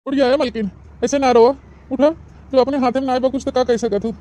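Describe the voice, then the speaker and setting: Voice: sits high, steady tone, fairly quick, mellow, close to an everyday voice. A voice actor playing a loyal village husband in a cartoon, soothing his wife in an Awadhi accent. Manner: soothing, reassuring.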